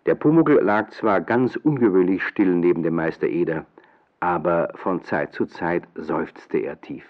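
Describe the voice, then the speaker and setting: A man's voice: sits low, measured pace. A man narrating a German children's radio play in a scripted storytelling style. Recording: dull, band-limited old radio recording.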